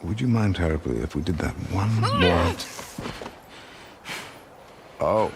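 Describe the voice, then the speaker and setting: A smug older villain with theatrical, pompous delivery for a game cutscene. The voice is deep and creaky and swoops dramatically, over clear background noise. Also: male voice, measured pace. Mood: flattering.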